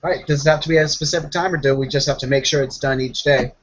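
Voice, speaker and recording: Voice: medium pitch, fairly even intonation, racing, muffled recording, natural voice. A player voicing a jumpy, timid, slang-using member of a werewolf pack in a tabletop roleplaying game, sex unclear. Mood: cautiously agreeable. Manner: nervous, stammering, casual slang.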